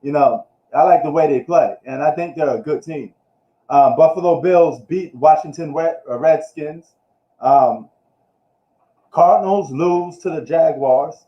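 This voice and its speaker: A man's voice: a little high, measured pace. A man from New York giving a casual, slangy live recap of the football week, ranting loosely as he goes. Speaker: sports streamer. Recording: echoey room, dull lo-fi live stream.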